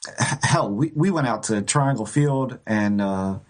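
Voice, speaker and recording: A man's voice: lowish voice, animated, a little slow. Rambling man telling a ghost story. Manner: rambling, restarts often, laughs while telling. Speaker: co-host. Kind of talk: casual podcast storytelling.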